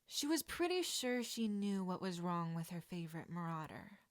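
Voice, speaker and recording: A woman's voice: lowish voice, big pitch swings, moderate pace, no room sound, studio quality. A woman reading a scripted piece of fiction aloud as a narrator. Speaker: podfic narrator.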